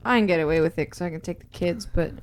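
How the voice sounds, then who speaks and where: A woman's voice: on the low side, rapid-fire. A woman playing a tabletop game, chatting casually in character with the group. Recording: faint background room noise.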